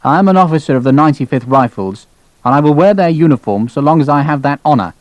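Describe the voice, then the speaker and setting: A man's voice: on the high side, quick pace, no room sound, close to an everyday voice. A man voicing a blunt, defiant British rifle officer in an audiobook, speaking firmly. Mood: flat, defiant. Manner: flat, firm.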